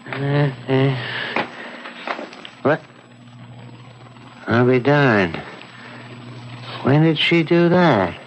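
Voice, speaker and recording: Slow-talking puzzled husband, old radio. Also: male voice, mid-range voice, animated. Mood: puzzled, mild. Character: easygoing, mildly puzzled ordinary husband. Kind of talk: 1970s radio drama acting. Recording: dull, hissy 1970s radio recording.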